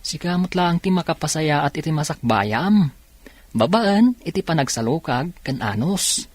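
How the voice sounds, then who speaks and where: A man's voice: fairly high pitch, animated, normal speed, no room sound. Man playing earnest young Filipino worker, dry sound. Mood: earnest, encouraging. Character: earnest, hardworking young male factory worker and elder brother. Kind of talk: radio drama acting.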